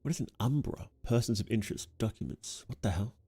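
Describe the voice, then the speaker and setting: A man's voice: lowish voice, expressive, fast, warm tone, breathy edge. A man streaming casually, reading on-screen text aloud and musing on it as he goes. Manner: reads text aloud, muses and reacts aloud. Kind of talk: casual livestream reading and commentary.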